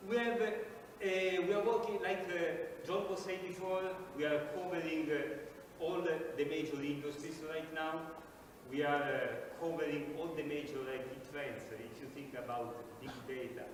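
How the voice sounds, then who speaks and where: A man pitches open sales roles at a jobs fair, pausing often on uh with non-native phrasing. His voice is captured from far away and washes around a long-reverb hall with clear background noise underneath. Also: fairly even intonation. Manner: halting, many uh fillers, non-native phrasing. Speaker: sales recruiter. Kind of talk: recruiting presentation. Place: large expo hall. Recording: echoey hall, distant mic, background noise.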